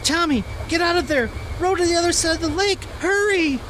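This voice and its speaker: A woman's voice: extremely high pitch, brisk, a bit bright, slight background noise. A woman playing a terrified, pleading young daughter in an amateur horror radio play, crying out frantically. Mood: urgent.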